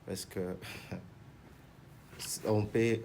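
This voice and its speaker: Hesitant man with a lowish voice. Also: steady tone, very slow, vocal fry, a little mellow, slight background noise. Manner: hesitant, many restarts, mixes in Lingala. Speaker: artist manager and minister. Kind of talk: TV talk show interview.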